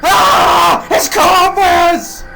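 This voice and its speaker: A man's voice: extremely high pitch, gravelly, raised voice, loud music behind the voice, heavily acted, rough recording. A man voicing a stubborn, boastful little steam engine who is easily frightened, in an audio drama. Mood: terrified wailing. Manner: blustering, quick to panic. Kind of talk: audio drama character acting.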